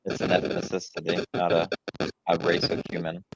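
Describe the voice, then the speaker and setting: A man's voice: deep voice, completely flat delivery, normal speed, gravelly, background noise. An American man talking casually in a group discussion over a slightly dull, lo-fi mic.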